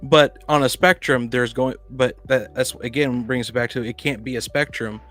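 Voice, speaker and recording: A man's voice: mid-range voice, steady tone, normal speed, a bit bright, faint music behind. A man on a podcast panel in casual debate, arguing insistently and cutting in to press his point. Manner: argumentative, insistent, interrupting.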